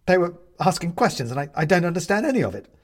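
A man's voice: a little high, big pitch swings, unhurried, breathy edge, voice pushed up, heavily acted. A man voicing a frightened, bewildered male newcomer in a storybook, stammering and pleading. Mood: panicked. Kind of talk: storybook character voice.

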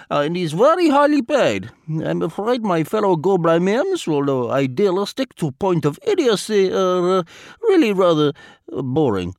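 A man's voice: high-pitched, clean tone, dry recording, studio quality, pitched above the performer's own voice, full character voice. Give me a man playing a wily foreign spy in a storybook reading, formal broken English and a wildly swooping voice. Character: wily, self-mocking male foreign spy, middle-aged.

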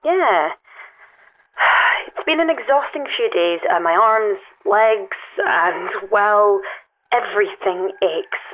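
An actress playing a reflective, weary but serene climber in an audio drama, talking gently and hesitantly through a telephone filter. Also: female voice, mid-range voice, animated, slow pace. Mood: awed, serene. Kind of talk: naturalistic audio drama acting, intimate conversation. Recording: tinny phone line, music underneath.

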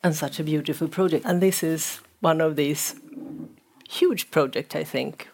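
A woman with a low-pitched voice works as an interviewer. She responds to her guest in a reflective, measured way. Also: vocal fry, darkish tone.

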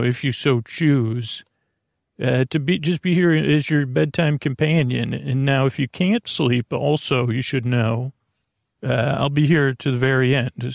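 A man hosting a sleep podcast in a rambling, improvised bedtime monologue, halting and restating himself as he gently reassures the listener. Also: medium pitch, gravelly, no room sound, studio quality.

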